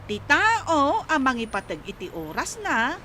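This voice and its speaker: A woman acting as a chatty, boastful, preachy village friend in an Ilocano comedy radio drama, animated and teasing, with an Ilocano accent. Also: high-pitched, a little slow, noisy background, full character voice. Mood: chiding.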